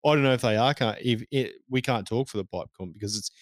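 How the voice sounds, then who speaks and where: A man's voice: medium pitch, fast, dry recording, clean studio sound. A man, co-hosting a casual podcast chat, blunt and sweary. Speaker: podcast co-host.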